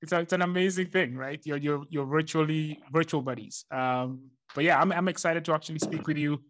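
A man hosting a podcast interview, enthusiastic and energetic, with frequent restarts. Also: fairly high pitch, fairly quick. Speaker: podcast host.

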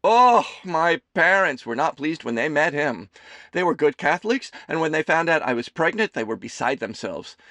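Man doing a loud, chirpy diner mom. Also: big pitch swings, normal speed, no room sound. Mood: teasing. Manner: loud, theatrical, high chirpy voice. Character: doting gossipy middle-aged diner mother, brash.

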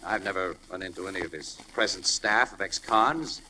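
An actor playing a brash, slangy, wisecracking newspaper photographer turned amateur sleuth in a 1940s radio crime drama. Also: male voice, deep voice, normal speed, pushed and bright. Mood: cocky, persuasive. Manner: slangy, breezy, cocky. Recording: noisy old-time radio recording.

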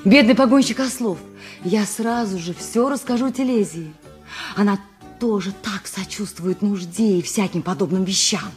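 A lovesick maidservant played by a woman in a radio drama, cooing sentimentally in a fairly high voice over music. She sounds naive, devoted and dreamy. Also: darkish tone, natural voice. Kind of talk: Soviet radio play acting.

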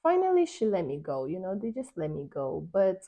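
A young woman from Nigeria telling a travel story in a chatty, animated way, with lots of fillers and little laughs. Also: on the low side, a little fast, muffled recording. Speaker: travel vlogger. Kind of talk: casual storytelling to camera.

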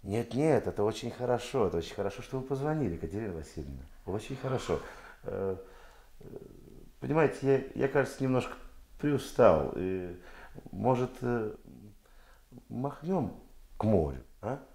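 An actor playing a seasoned, kindly police detective chief in a radio play, halting and a little shy. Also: male voice, mid-range voice, big pitch swings, mellow. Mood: tender, bashful.

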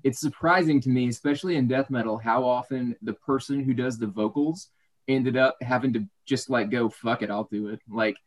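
A man's voice: mid-range voice, fairly even intonation, normal speed, rough recording. A man hosting an interview, asking long, winding questions with many restarts. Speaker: podcast host.

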